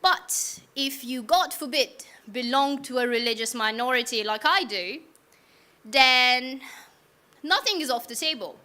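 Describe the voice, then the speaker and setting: A woman's voice: high-pitched, expressive, measured pace, sharp tone. A young woman from Iran telling her personal story to a live audience in a frank, wry, casual way, asking the room questions.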